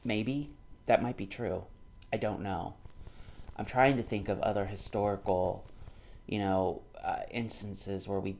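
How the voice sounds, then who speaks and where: A man's voice: fairly low pitch, steady tone, measured pace, darkish tone. A man in his thirties giving casual commentary on a book, argumentative and emphatic, repeating himself to drive points home. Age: 30s. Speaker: commentator reading a book aloud and responding. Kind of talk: casual reaction commentary, alternating reading aloud and off-the-cuff response.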